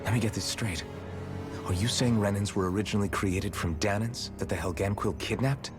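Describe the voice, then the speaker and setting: An actor voicing a measured, level-headed male party member in a game. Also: lowish voice, close to an everyday voice. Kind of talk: video game voice acting. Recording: game audio with music.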